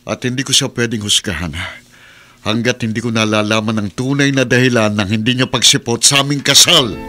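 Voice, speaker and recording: Man acting an earnest young groom, bright voice. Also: medium pitch, steady tone, brisk, close to an everyday voice. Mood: hurt, steadfast. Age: young adult. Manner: pleading, mixes Tagalog with English. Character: earnest, loyal jilted groom pleading with his mother. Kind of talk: Philippine radio soap opera acting.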